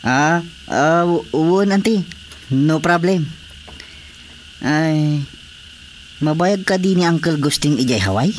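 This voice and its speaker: An actor playing an eager, hesitant young brother-in-law in an Ilocano radio comedy drama, with an Ilocano accent. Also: male voice, on the high side, expressive, takes it slowly, pauses often. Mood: eager, deferential. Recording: faint background noise with crickets.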